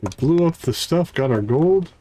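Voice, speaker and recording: A man's voice: on the high side, animated, normal speed, mellow, slight background noise. A man hosting a livestream with casual, playful gameplay commentary, half talking to himself. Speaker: livestream host.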